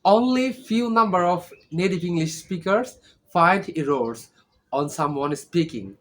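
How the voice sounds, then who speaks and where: A man's voice: high voice, fairly bright tone. A young man from Bangladesh, a native Bangla speaker with a Bangladeshi accent, giving an earnest, informal English lesson and often checking in with "okay?". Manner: earnest, repeats tag questions like "okay?". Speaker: English learning vlogger. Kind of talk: informal teaching monologue.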